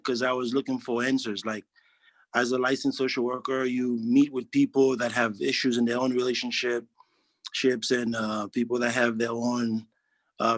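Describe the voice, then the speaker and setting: Man, 40s, earnest, halting, dry close sound. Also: medium pitch, dry recording. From Haiti. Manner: earnest, halting, frequent restarts. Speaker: interviewee, social worker. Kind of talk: reflective testimonial interview.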